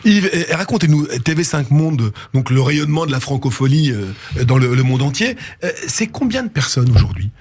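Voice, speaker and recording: A man's voice: fairly high pitch, very animated, moderate pace, hardly any pauses, a little mellow. A man hosting a radio interview, chatty, prompting and finishing his guest's sentences. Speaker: radio host. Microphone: studio microphone.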